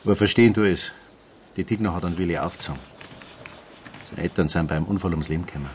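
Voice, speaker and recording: A man's voice: sits low, fast talker, slight background noise, close to an everyday voice. An actor playing a down-to-earth, placating small-town policeman with a Bavarian accent in a naturalistic radio drama. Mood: matter-of-fact. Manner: matter-of-fact, colloquial.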